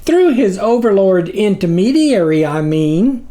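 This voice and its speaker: A man voicing a tiny, nervous demon underling in a squeaky voice, fawning with flowery, deferential speech for a storybook. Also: big pitch swings, measured pace, warm tone.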